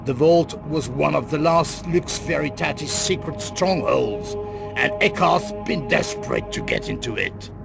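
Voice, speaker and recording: An actor playing a grim, guarded middle-aged crime boss in a video game cut-scene, with a gravelly voice and grave, deliberate delivery. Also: male voice, fairly high pitch, very animated, heavily acted. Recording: loud music under the voice.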